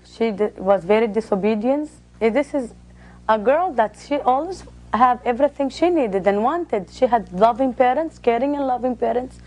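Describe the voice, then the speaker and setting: A woman who is a non-native English speaker, making halting, unscripted interview remarks. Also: fairly high pitch, darkish tone, rough recording. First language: not English.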